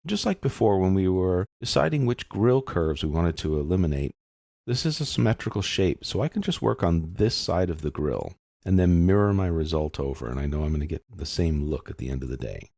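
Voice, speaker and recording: A man giving an informal, unscripted step-by-step software tutorial, explaining and thinking aloud as he works. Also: sits low, sing-song, a little fast, gravelly, no echo, clean studio sound.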